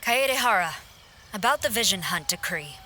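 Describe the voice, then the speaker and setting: A woman's voice: medium pitch, lively intonation, normal speed, pushed and bright, slight background noise. A woman playing a stern, upright military commander in a video game cutscene, formal and authoritative. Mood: composed, formal.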